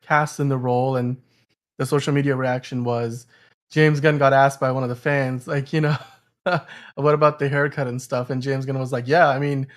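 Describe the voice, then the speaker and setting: A man with an average-pitched voice hosts a casual film news discussion. He explains things conversationally, pausing mid-sentence as he finds his words. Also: flat delivery. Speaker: podcast host.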